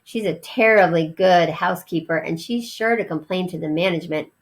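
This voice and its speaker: A woman's voice: on the low side, fairly quick, close to an everyday voice. A friendly young boy from a children's storybook, read by a woman. He is earnest and generous, happy to oblige but a little careful and ready to scold.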